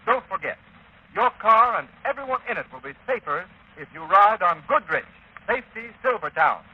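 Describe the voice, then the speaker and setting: A man's voice: high-pitched, very animated, moderate pace, noisy background. A man announcing a scripted 1930s radio advertisement with an emphatic, selling delivery. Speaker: commercial announcer. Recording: dull vintage radio recording.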